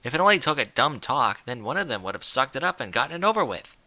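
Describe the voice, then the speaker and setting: Storybook casting: male reader as cocky young female pegasus, blunt and slangy, bright, animated delivery. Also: medium pitch, quick pace, dry recording, exaggerated voice. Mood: skeptical.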